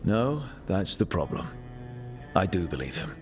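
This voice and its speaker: An actor playing a composed, pragmatic leader of adventurers in a video game, speaking in a measured, dry way. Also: male voice, low voice, big pitch swings. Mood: grave. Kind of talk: video game cutscene acting. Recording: game dialogue under clear background music.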